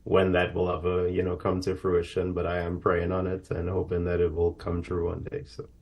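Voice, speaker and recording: Low, gravelly man from Trinidad. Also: monotone, moderate pace, a little mellow. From Trinidad and Tobago. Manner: earnest, reflective, many fillers. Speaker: aerospace professional guest. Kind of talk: live TV interview answers.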